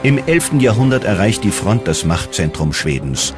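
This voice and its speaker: Deep-voiced male documentary narrator. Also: very animated, moderate pace, over music. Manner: dramatic storytelling delivery.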